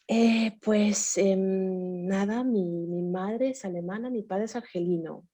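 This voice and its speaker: A woman's voice: medium pitch, slow, warm tone. A woman raised in Switzerland sharing her life story on a video call, hesitant with many fillers and restarts. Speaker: guest. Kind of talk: casual personal sharing in a group chat.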